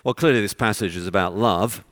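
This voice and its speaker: A man with a Texan accent preaching a conference sermon in an earnest, conversational way, pausing as he goes. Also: lowish voice, a bit bright, no room sound, clean studio sound. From Texas. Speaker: visiting preacher. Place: church conference.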